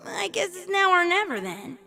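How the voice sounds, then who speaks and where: Creaky, high, brash cartoon pony. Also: female voice, big pitch swings, normal speed, bright, forceful tone, heavily acted. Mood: tender. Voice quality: raspy, creaky. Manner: confident, reassuring. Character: confident, brash, protective young female pegasus pony. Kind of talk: cartoon fan fiction character reading. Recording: music under the voice.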